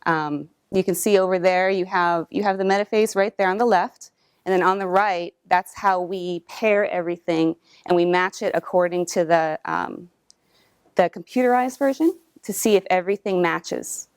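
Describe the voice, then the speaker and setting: A woman's voice: fairly low pitch, normal speed, clean tone. A young adult woman, a lab technologist, giving a friendly, explanatory talk about her work and background, with frequent ums. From New England and Southern California. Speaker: guest speaker, cytogenetic technologist. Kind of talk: presentation talk with slides.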